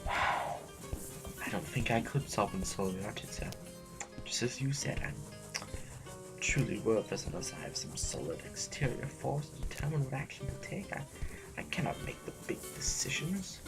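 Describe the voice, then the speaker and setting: A fussy aristocratic politician, played by a man, snobbish yet timid. He speaks in long pompous phrases that trip into stammers, at a medium male pitch. Music runs behind the audio drama scene. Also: lively intonation, normal speed, gravelly, long pauses. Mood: dejected.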